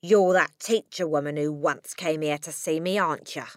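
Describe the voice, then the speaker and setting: A woman's voice: low voice, normal speed, no room sound, clean studio sound, close to an everyday voice. A woman reading an audiobook voices a brusque middle-aged father, talking curtly and dismissively. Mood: curt, impatient.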